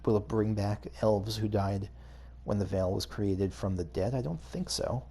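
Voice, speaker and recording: A man's voice: on the low side, a little slow, dark tone. A man giving casual video game playthrough commentary, hesitantly thinking aloud as he goes. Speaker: gaming video host.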